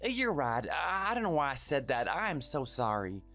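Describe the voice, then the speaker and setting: A performer voicing a meek, sickly man in his thirties who speaks softly and hesitantly, always apologizing. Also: fairly high pitch, big pitch swings, normal speed, soft background music, heavily acted. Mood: pleading. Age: 30s. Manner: soft, hesitant, pleading. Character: meek sickly young husband, timid and apologetic.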